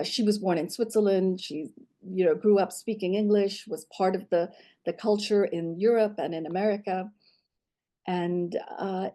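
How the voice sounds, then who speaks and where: A middle-aged woman telling her personal story in an interview, reflective and measured, often saying "you know". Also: medium pitch, mellow. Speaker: guest storyteller.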